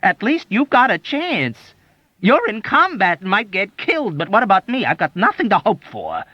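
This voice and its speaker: This is a high, whiny army doctor who pities himself endlessly, voiced by a male audiobook reader. His complaints lurch all over the pitch range in a plaintive, wailing tone. The recording is dry and a little muffled. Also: huge pitch swings, normal speed, dry recording, heavily acted. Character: whining self-pitying male army doctor.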